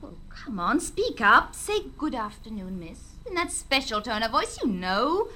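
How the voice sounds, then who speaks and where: An actress playing a bold, bitter young stepdaughter in a stage drama, impatient, mocking and passionately theatrical. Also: female voice, on the high side, sing-song, a little slow, pushed and bright, a little hiss or hum, heavily acted. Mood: impatient, commanding.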